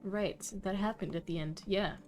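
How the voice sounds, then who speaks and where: A woman's voice: mid-range voice, moderate pace, faint music behind. A woman streaming a game, giving casual, off-the-cuff reaction commentary. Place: livestream, game music behind.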